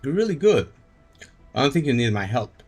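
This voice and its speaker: A man's voice: mid-range voice, big pitch swings, normal speed, soft background music. A man giving casual, wry live commentary as he streams a video game. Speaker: livestreamer.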